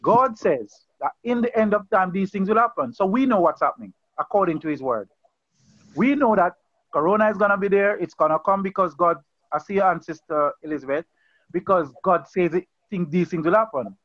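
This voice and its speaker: A man's voice: high-pitched, lively intonation, brisk, fairly bright tone, no echo, lo-fi sound. A man leading a Bible study over a video call, preaching in an earnest, conversational way and often repeating and restarting phrases. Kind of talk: informal sermon on a video call.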